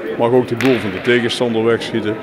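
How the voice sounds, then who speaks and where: A man explaining his sport in an informal interview, with halting, filler-strewn delivery. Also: mid-range voice, steady tone. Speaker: jeu de boules club representative being interviewed. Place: busy sports hall, on location. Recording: noisy venue with clear background ambience.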